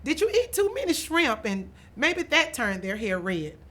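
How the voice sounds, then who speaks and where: A woman's voice: high-pitched, big pitch swings, unhurried, a bit bright, exaggerated voice. A woman voicing a nosy male stranger in a storybook, exclaiming and asking questions in amazement. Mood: prying. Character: nosy, astonished stranger, a man, in a store.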